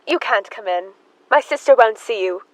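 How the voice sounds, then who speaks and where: Fluttery, high-voiced Miss Emma, a fretful spinster, acted by a woman. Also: animated, normal speed, a little hiss or hum, close to an everyday voice, rough recording. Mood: flustered, anxious. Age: young adult. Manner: flustered, fluttery, easily agitated. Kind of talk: stage play acting in an audio drama.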